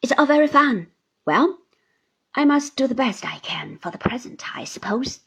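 Woman reader puts on a surly down-and-out man, grudging, breathy and a touch high. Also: expressive, normal speed, exaggerated voice. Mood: sullen. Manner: sullen, grudging demands. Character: shabby surly middle-aged man, a beggar.

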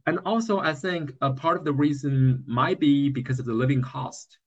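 A man from China with a Chinese accent in a casual podcast discussion, speaking haltingly and repeating words as he searches for phrasing. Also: medium pitch, slightly rough, lo-fi sound. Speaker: podcast co-host.

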